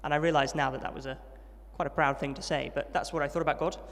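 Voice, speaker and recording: Fast-talking young man in a hall. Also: fairly high pitch, fairly even intonation, fairly bright tone. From Yorkshire, England. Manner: friendly, self-deprecating, fast storytelling with many ums. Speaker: interviewee, trainee vicar. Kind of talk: testimony interview at a student event.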